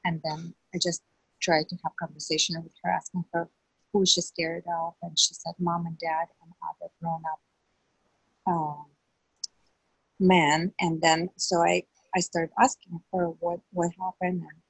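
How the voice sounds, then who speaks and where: A woman whose first language is Russian shares in a support group, speaking softly and hesitantly as she searches for words. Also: on the low side, little pitch movement, unhurried, creaky, slightly rough, no echo, rough recording. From Southern California. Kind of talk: personal sharing in a support group.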